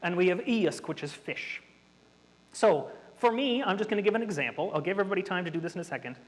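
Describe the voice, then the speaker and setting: Man, workshop presenter, high voice, nerdy explainer, echoing hall. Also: expressive, quick pace. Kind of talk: interactive workshop talk. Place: hall with an audience. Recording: reverberant hall.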